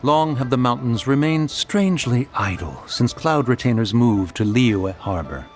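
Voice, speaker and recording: An actor playing a dignified ancient spirit in human form in a video game, speaking in formal, archaic phrasing. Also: male voice, mid-range voice, expressive, a little slow, darkish tone, lightly acted. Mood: grave. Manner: formal, archaic, refers to self as one. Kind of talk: English video game dub acting. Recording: voice over background music.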